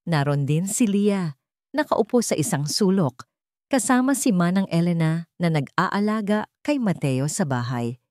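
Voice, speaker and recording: A woman's voice: lowish voice, lively intonation, a little slow, a little mellow, dry recording, studio quality. A woman reading a dramatic scripted romance story. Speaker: story narrator.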